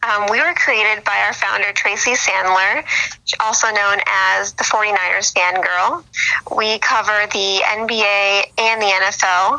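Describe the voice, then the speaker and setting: A woman's voice: medium pitch, fairly even intonation, a little slow, gravelly, bright, forceful tone, rough recording. A young woman from Boston, chatting as an interview guest with many fillers and restarts. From Boston, USA. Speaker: sports blogger guest. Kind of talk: casual interview on a phone line.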